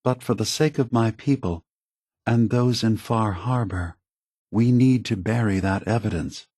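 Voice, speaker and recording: Slow, solemn old android leader. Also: male voice, lowish voice, darkish tone, no echo, studio quality. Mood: solemn pleading. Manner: measured, deliberate, earnest, solemn. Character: calm, sorrowful, ancient synthetic android leader. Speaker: video game character voice actor. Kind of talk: scripted video game dialogue.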